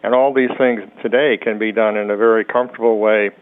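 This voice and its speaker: A male urologist explaining clinical practice in a calm, measured way during a medical podcast interview. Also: on the low side. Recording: tinny phone-like remote audio.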